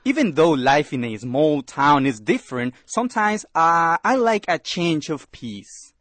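A friendly young college student. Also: male voice, on the high side, sing-song, unhurried, fairly bright tone, no echo. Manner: friendly, chatty. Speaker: dialogue actor. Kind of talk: scripted radio lesson dialogue.

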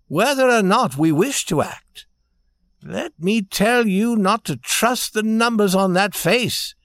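A grand, ragged storybook prince played by a man, lofty and sure of himself. His voice rides high and swings widely as he gives out counsel in a commanding, measured way. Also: no room sound, exaggerated voice. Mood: solemn warning. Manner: commanding, lofty, soft-spoken at times. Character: proud, grand, wise vagabond prince in torn clothes.

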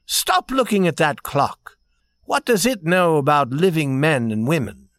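A man's voice: fairly high pitch, lively intonation, measured pace, no room sound, studio quality, pitched above the performer's own voice. A man voicing a proud, wise vagabond prince in a storybook, with a lofty and commanding manner. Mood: solemn warning. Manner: commanding, lofty, soft-spoken at times.

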